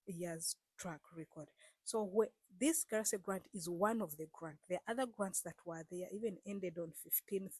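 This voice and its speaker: A woman's voice: lowish voice, darkish tone, breathy edge, no echo. A woman vlogger speaking straight to her viewers in a casual, earnest way, repeating herself and coaxing them with frequent fillers. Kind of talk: casual direct-to-camera explainer.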